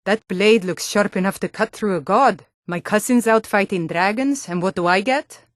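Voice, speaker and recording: An actress playing a gruff, wary Nordic female guard and townswoman in a fantasy video game, speaking bluntly with a Nordic accent. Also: mid-range voice, normal speed, no room sound, clean studio sound, close to an everyday voice. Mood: wry, weary.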